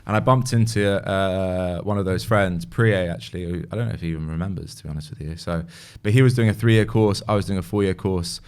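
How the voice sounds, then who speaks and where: Smooth, low-pitched man, early thirties, podcast guest, relaxed and rambling. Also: a little fast, hardly any pauses. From born in Paris, English parents. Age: 30s. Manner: relaxed, rambling, many fillers and hedges. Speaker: guest, music industry A&R. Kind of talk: casual podcast interview.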